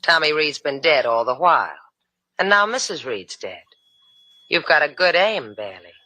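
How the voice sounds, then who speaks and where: A woman's voice: low voice, big pitch swings, moderate pace, sharp tone, dry recording, exaggerated voice. An actress in an old-time radio crime drama playing a cool, shrewd woman with a coaxing, pointed delivery. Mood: pointed, accusing.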